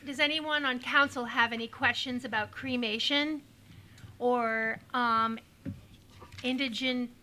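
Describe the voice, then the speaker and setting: Hesitant bright-voiced woman at council. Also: on the high side, steady tone, slow. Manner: hesitant, asks around the table. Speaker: council member. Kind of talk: council meeting questions. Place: council chamber.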